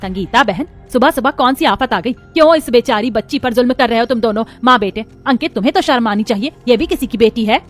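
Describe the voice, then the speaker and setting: A woman's voice: fairly high pitch, a little fast, hardly any pauses, soft background music, natural voice. A woman playing an outspoken, caring middle-aged neighbourhood aunty in a cartoon family drama, speaking emphatically. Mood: scolding, concerned. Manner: admonishing, emphatic.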